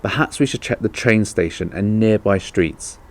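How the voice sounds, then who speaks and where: A man's voice: fairly low pitch, normal speed, lightly acted. A man voicing an anxious, practical aunt in a children's storybook, with urgent delivery.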